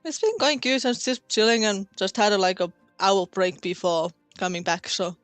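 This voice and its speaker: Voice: mid-range voice, normal speed, a bit bright, no room sound, faint music behind. A person with a Finnish accent chatting casually as a guest on a stream, loose and laughing. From Finland. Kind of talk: casual chat.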